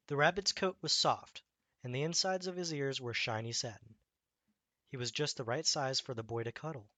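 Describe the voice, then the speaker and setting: A man reading a children's bedtime story aloud as an expressive storyteller. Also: mid-range voice, no echo.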